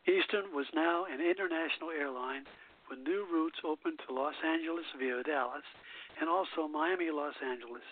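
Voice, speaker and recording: A man hosting a call-in internet radio show, reading history notes aloud in a halting way and stumbling over names. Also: a little high. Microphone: phone line into a call-in internet radio show. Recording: tinny phone line.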